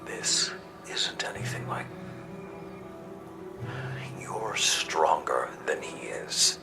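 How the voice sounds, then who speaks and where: A battle-hardened old game commander with an extremely low, gravel-edged voice. He is stern and solemn, weighing every word at a slow, measured pace. Music plays behind him. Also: animated, whispered, full character voice. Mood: frustrated, brooding. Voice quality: deep, gravelly. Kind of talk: dramatic video game dialogue.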